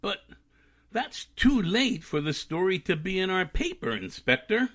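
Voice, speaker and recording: A man plays a pushy, wry press reporter in an old-time radio mystery. His voice is sharp and forceful, on the high side. The delivery is punchy, with very animated pitch. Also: a little slow, exaggerated voice. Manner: punchy, insistent. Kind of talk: 1940s radio drama acting.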